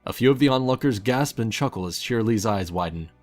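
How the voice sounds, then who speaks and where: A man with a low voice reads a story as its narrator. He delivers scripted second-person prose, and soft music plays behind him. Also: animated, quick pace. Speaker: fan fiction narrator.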